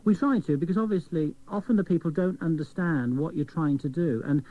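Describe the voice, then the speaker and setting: A man's voice: on the high side, brisk. A man, a doctor being interviewed, explaining things articulately and telling anecdotes. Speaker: doctor, studio guest. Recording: tinny band-limited old cassette radio recording.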